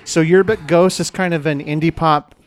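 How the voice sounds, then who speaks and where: A man's voice: on the high side, steady tone, normal speed, soft background music. A man co-hosting a podcast, chattily explaining a video game as he plays and laughing often. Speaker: podcast co-host.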